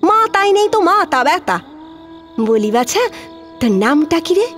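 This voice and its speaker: A woman playing an elderly, sharp-tongued but affectionate widowed village aunt in a Bengali audio drama, with a rural West Bengal accent and a scolding, exclaiming delivery. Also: very high-pitched, big pitch swings, measured pace, full character voice. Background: background music.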